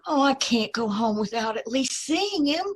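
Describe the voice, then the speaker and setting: A woman's voice: high-pitched, lively intonation, normal speed, breathy edge. A woman playing a polite, earnest young woman in an old time radio drama, speaking hesitantly and apologetically. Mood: pleading. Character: polite, earnest young woman seeking her lost brother.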